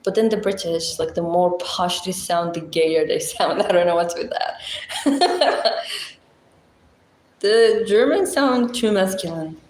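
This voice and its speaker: A Moroccan woman with a Moroccan accent, hosting a casual livestream with a blunt, chatty, deep-voiced way of talking and frequent laughs. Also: slow, pauses often, a little mellow, echoey room. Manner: chatty, blunt, laughs often, thinks aloud. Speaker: livestream host. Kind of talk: casual livestream chat reading comments.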